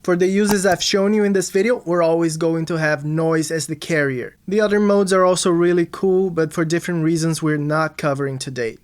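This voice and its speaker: A music production tutorial host narrating a scripted lesson, explaining things clearly step by step. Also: mid-range voice.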